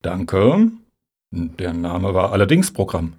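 Earnest middle-aged male lobbyist for satirical radio play, acted by a man: husky, lowish, pompous, self-justifying. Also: big pitch swings, slow pace, darkish tone, heavily acted. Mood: reminiscing. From Hamburg, Germany. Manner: formal, jargon-heavy, pompous.

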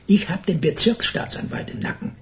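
An actor playing an impatient, pompous FBI department chief in a radio play, curt and hectoring. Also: male voice, fairly quick, lightly acted. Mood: bossy.

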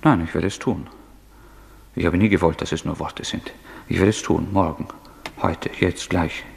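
A man's voice: low-pitched, normal speed, creaky. An actor playing a brooding, feverishly resolved and unstable man, speaking intensely and introspectively in a German radio drama. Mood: feverish resolve. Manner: intense, introspective, swinging from hushed to agitated.

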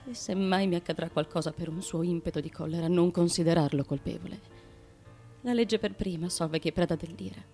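An actress playing the devoted, tormented wife of an ancient Greek tyrant in a radio drama monologue, with an intense, theatrical delivery. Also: female voice, on the low side, steady tone, brisk, soft background music, natural voice. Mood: devoted, pleading. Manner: intense, dramatic, with hushed asides and outcries.

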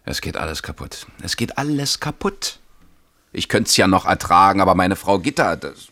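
An actor in a 1970s East German radio play, playing a downcast young husband who speaks haltingly and repeats himself. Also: male voice, deep voice, big pitch swings, sharp tone, full character voice. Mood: despairing. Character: downcast, hesitant young husband pleading for housing.

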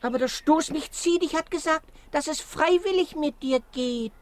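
An actress playing an earnest, affectionate jungle monkey in a children's radio play. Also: female voice, high-pitched, moderate pace, a little hiss or hum, lightly acted.

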